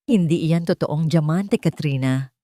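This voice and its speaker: A woman voicing a proud, blind rich man in a romance story, calm and firm with a sharp edge. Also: low voice, measured pace, dry recording, clean studio sound. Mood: cold, cutting.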